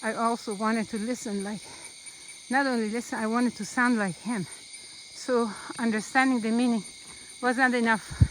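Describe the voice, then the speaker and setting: A woman's voice: on the high side, fairly even intonation, moderate pace, a bit bright, slight background noise, low-quality recording. A woman teaching about the Quran while walking a rainforest trail, speaking earnestly and unhurriedly and repeating phrases for emphasis. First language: not Arabic. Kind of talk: walking vlog talk.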